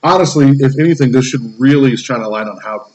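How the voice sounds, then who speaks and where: A man's voice: medium pitch, quick pace, darkish tone, rough recording. A man in his thirties hosting a casual podcast, ranting emphatically with plenty of swearing. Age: 30s. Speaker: podcast host.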